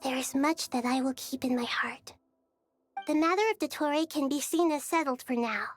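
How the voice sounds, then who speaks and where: A high, girlish voice of a wise and gentle young deity performed by a woman for a video game. She speaks in composed, measured phrases, formal yet playful. Faint music plays behind her. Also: natural voice. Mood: solemn, resolute. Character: gentle wise childlike female deity and ruler.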